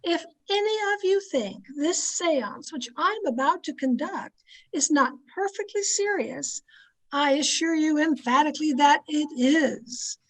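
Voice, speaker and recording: A woman's voice: high voice, a bit bright, full character voice, rough recording. A woman playing a pompous, bossy society wife who fancies herself a medium, in theatrical old-time radio style. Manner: theatrical, imperious, grandly emphatic. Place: remote recording over a video call.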